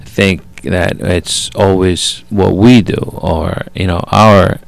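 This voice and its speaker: A middle-aged man from Italy speaks slowly in a low, gravelly voice. He gives a personal faith testimony, stopping often and restarting his sentences. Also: fairly even intonation. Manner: halting, many fillers and restarts. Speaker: guest father. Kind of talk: faith testimony, casual talk.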